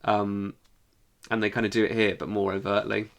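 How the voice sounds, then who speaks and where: A man's voice: lowish voice, flat delivery, normal speed, gravelly. A man co-hosting a podcast in a casual, informal chat. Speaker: podcast co-host.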